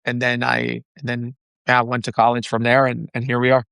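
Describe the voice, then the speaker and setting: Gravelly young man from the Dominican Republic. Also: mid-range voice, fairly quick, no echo. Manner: animated, laughs often, many restarts. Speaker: startup founder, guest. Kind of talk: casual interview chat.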